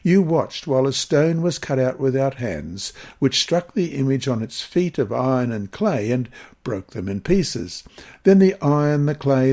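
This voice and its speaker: A man reads a Bible lesson aloud. His delivery is solemn and measured, with deliberate phrasing, in a voice of medium pitch. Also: darkish tone. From Queensland, Australia. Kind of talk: scripted scripture reading and narration.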